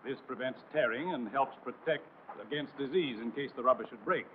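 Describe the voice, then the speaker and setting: A man's voice: mid-range voice, fairly quick. A man narrating a 1940s military training film in a formal, authoritative, didactic and emphatic scripted style. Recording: noisy, tinny 1940s film soundtrack.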